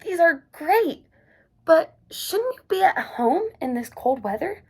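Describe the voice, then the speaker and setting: A woman's voice: extremely high pitch, big pitch swings, slow, pitched above the performer's own voice, full character voice. A woman voicing a friendly, chatty grown otter in a storybook, drawing her words out.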